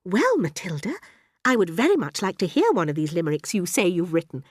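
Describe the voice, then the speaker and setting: A woman voicing a gentle, encouraging female schoolteacher in a storybook, kindly, prim and attentive. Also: lowish voice, big pitch swings, brisk, breathy edge, no room sound, studio quality. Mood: curious, insistent.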